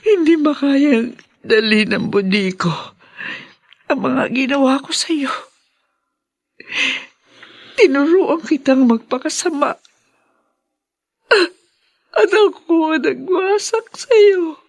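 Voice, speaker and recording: High, anguished Filipino mother. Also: expressive, no room sound, clean studio sound, heavily acted. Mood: tearful remorse. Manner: slow, anguished, tearful delivery. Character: remorseful, despairing mother who thinks herself worthless. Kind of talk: Filipino radio drama acting.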